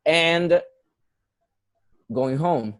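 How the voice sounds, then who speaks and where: A man's voice: a little high, drawn-out words, no room sound, clean studio sound. A young man from Puerto Rico with a Puerto Rican Spanish accent, a musical theater performer talking earnestly as an interview guest, with many fillers and restarts. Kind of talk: casual conversational interview.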